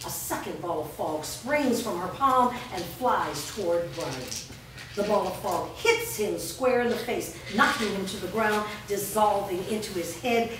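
Female stage directions reader: mid-pitched scripted narration, heavy room echo, noisy recording. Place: bare indoor room with small audience. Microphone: far camera. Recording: echoey room, distant mic, noisy.

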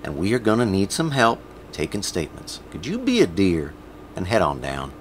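An actor playing a weary, exasperated small-town sheriff with gruff, folksy authority in an audio drama. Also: male voice, sits low, huge pitch swings, moderate pace, slight background noise. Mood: sweet-talking.

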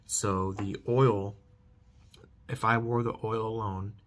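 A man's voice: on the low side, flat delivery, takes it slowly, fairly bright tone. A man in his thirties giving a casual product review to camera, repeating emphatic stock phrases, recorded at about arm's length in a small bedroom. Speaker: product reviewer, channel host. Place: small furnished bedroom, indoors.

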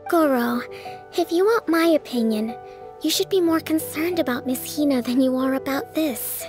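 Woman playing a gentle young leader, soft high voice, calm, faint music. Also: moderate pace, close to an everyday voice. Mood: reassuring. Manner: calm, reassuring. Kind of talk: video game voice acting.

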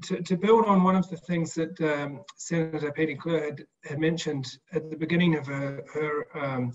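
A man with a slightly high voice reflects as a panelist on a video call. His delivery is measured and halting, with words often repeated. The audio is a little dull. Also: steady tone, darkish tone. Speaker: panel speaker. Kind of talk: reflective recollection in a panel discussion. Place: indoor room, video call. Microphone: video call microphone.

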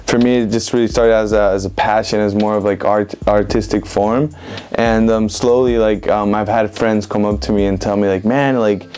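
Young Venezuelan man, flat rambling voice. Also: lowish voice, normal speed, soft background music. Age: 20s. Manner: relaxed, rambling with many fillers like "like" and "um". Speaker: interviewed street photographer. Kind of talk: casual interview answers. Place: indoor room with bookshelves.

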